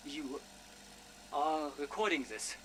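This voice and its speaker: Nervous doubting scientist, highish voice. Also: male voice, slow pace, noisy background. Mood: incredulous. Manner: anxious, questioning, incredulous. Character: nervous, doubting male German scientist, a subordinate. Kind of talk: dramatic game audio log dialogue.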